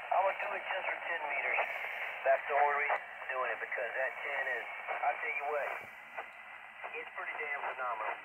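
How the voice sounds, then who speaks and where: A man's voice: medium pitch, fairly quick. A middle-aged man chatting casually as a ham operator over a two-way radio. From United States. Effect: two-way radio transmission. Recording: very noisy, static-laden ham radio audio.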